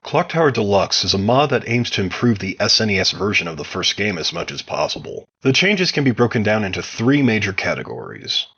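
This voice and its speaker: A man giving measured, explanatory interview answers as a game modder, sounding like he reads from prepared text. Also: on the low side, very animated, a bit bright, husky, lo-fi sound.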